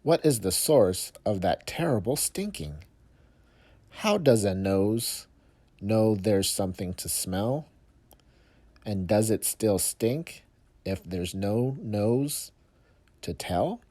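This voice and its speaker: A man's voice: lowish voice, expressive, takes it slowly, pauses often, mellow. A man voicing a curious little girl from a storybook, asking eager, emphatic questions. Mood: pondering.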